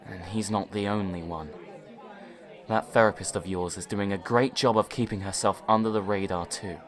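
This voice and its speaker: A man's voice: fairly low pitch, steady tone, moderate pace, a faint crowd, close to an everyday voice. Overworked young male mentor played by an actor. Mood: weary. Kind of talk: amateur anime fan audio drama acting.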